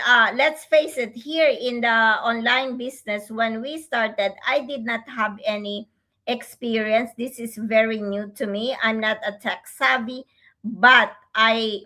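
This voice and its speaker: A woman whose first language is not English co-hosts a relaxed livestream chat. Her voice is bright and a little high, and she speaks slowly, pausing often with fillers as she searches for words. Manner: slow, halting, many fillers. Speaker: co-host.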